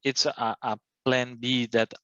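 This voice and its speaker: A man from Brazil with a Brazilian Portuguese accent, a student speaking haltingly in English with many fillers and restarts. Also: medium pitch, flat delivery, bright, forceful tone, clean tone, no room sound, lo-fi sound. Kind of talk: class discussion.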